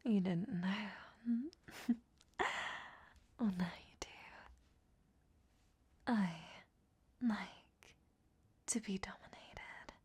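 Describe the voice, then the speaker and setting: Casting: actress as a seductive captive vampire woman for whispered ASMR roleplay, soft dark tone, unhurried, teasing delivery. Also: mid-range voice. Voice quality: breathy whisper. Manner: slow, sultry, teasing. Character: flirtatious, teasing, captured female vampire.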